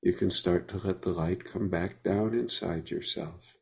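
A man leading a guided meditation, speaking gently with unhurried pauses between phrases. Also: low voice, vocal fry.

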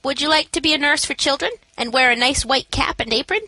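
A woman voicing a kindly middle-aged woman who runs a shelter, speaking in a gently coaxing, solicitous way. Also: high voice, brisk, no room sound, voice pushed up. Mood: concerned, kindly.

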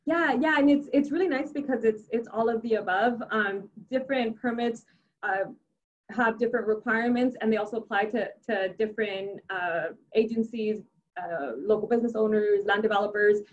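Young adult woman, native Spanish speaker, upbeat engineer guest; slightly high voice, dull lo-fi sound. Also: moderate pace. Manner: many restarts and fillers, upbeat. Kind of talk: casual interview answers.

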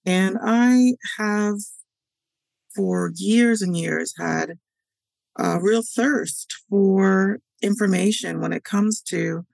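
Female historian guest from Canada, middle-aged, very gravelly and husky, measured answers, dry close sound. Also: medium pitch, a little mellow, dry recording. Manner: measured, thoughtful, with pauses and fillers. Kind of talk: interview answers.

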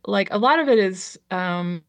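A woman artist chatting casually as an interview guest, hesitant and full of ums, trailing off her sentences. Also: medium pitch. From Liberia.

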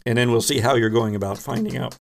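A man runs a tabletop role-playing game as host. His voice sits at a middling pitch with a noticeable fry. He explains as he goes, stopping to correct himself. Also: fast.

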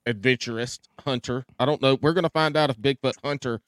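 A man's voice: medium pitch, fairly quick, fairly bright tone, dry recording. A man co-hosting a casual podcast while congested with a stuffy nose, with a wry, teasing manner. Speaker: podcast co-host. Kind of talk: casual chat.

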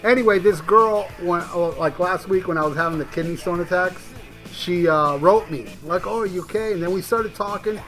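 High, bright-voiced man rambling on a podcast. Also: normal speed, faint music behind, poor audio. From United States. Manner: rambling storyteller, swears freely, laughs often. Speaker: podcast host. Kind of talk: casual crude podcast chat. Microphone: headphones.

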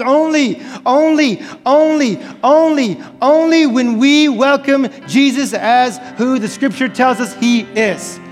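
A man preaching an impassioned evangelical sermon as a pastor, fervent and loudly exhorting, with emphatic repeated phrases. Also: extremely high pitch. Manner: loud, near-screaming exhortation. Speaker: pastor preaching to a church congregation. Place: large church hall, live preaching. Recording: large reverberant hall with music underneath. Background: music playing under the preaching.

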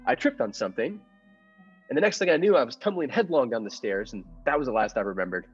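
A man's voice: mid-range voice, expressive, fast talker, breathy edge, soft background music. Meek husband, played by a man, muffled recording. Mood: anxious recounting. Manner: hesitant, earnest, formal storytelling. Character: meek, nervous henpecked husband confessing his murder scheme. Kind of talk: improvised-cast classic radio play narration.

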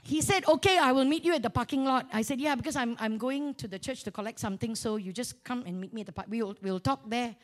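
A woman with a Malaysian accent preaching through a humorous, animated personal story, colloquial and full of local particles, acting out dialogue as she goes. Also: a little high, fast talker, hardly any pauses. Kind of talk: storytelling sermon with acted-out dialogue.

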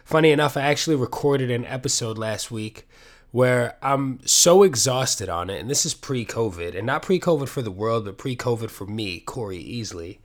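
A man's voice: medium pitch, expressive, normal speed. A man hosting a casual solo podcast from his apartment while sick with a cold, sniffly and rambling, with plenty of swearing. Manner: rambling, profane, self-deprecating. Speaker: podcast host. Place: home apartment.

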